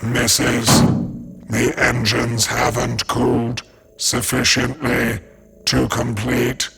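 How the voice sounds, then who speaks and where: An actor playing a stiff, dutiful ship's servant who reports in a flat, clipped monotone. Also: male voice, mid-range voice, slow pace, creaky, soft background music. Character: stiff robotic male ship servant.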